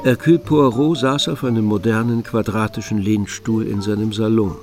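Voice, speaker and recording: A man narrating a radio drama from a script, with measured, literary storytelling. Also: fairly low pitch, fairly even intonation, a little mellow, close to an everyday voice. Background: music.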